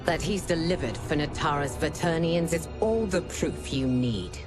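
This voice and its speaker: A very low woman's voice with clear music behind. a stern villainess in a video game, loyal to her master. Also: lively intonation, normal speed. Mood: assertive. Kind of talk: dramatic video game acting.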